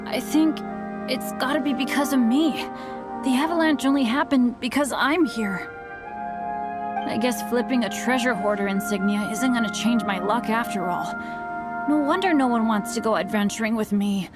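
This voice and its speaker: A woman's voice: fairly high pitch, normal speed, close to an everyday voice. A woman voicing a cheerful but unlucky teenage boy adventurer in a game, speaking in an apologetic, self-blaming way. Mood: guilty. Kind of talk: game cutscene voice acting. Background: game music.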